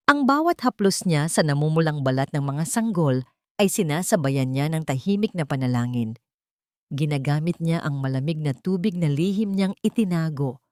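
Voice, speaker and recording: Low-voiced Filipino woman narrating a drama. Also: a little mellow, no room sound, clean studio sound. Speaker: audiobook narrator. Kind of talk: dramatic scripted story narration.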